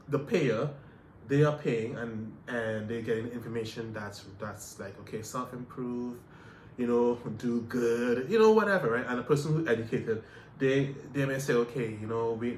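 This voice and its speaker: A man from Canada co-hosts a casual chat and talks in a reflective way, circling back with repeats and fillers. His voice sits at an ordinary pitch. The recording sounds roomy and has a faint hiss. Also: moderate pace. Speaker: podcast co-host.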